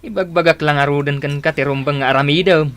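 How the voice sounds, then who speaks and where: A man's voice: fairly high pitch, quick pace, a bit bright, clear, resonant tone, natural voice. A man with an Ilocano Filipino accent playing a brash, scheming, persuasive friend in a radio drama. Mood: advising. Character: brash, scheming male buddy who tempts his friend.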